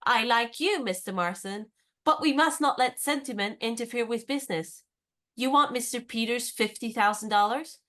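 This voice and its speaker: An actress playing a spirited, competitive young woman in a radio play, brisk, teasing and confident. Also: on the high side, pushed and bright.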